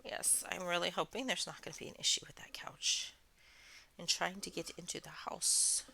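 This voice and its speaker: A woman hosting a craft channel, chatting casually in a soothing, soft-spoken, rambling way. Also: lowish voice, moderate pace, vocal fry, in a whisper. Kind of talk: casual chat while crafting.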